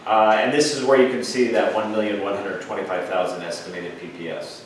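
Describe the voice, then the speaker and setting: A man's voice: lowish voice, steady tone, a little fast. A man giving an explanatory financial report at a board meeting in a plain-spoken, informal way. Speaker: finance officer presenting a report. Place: indoor public board meeting room. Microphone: distant room microphone. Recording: echoey meeting room, distant mic, faint noise.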